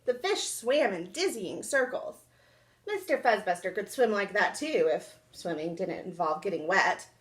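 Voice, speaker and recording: A young woman reading a children's storybook aloud indoors in an expressive, dramatic storytime style. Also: mid-range voice, measured pace. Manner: animated, dramatic. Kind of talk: expressive picture-book read-aloud. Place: small indoor room.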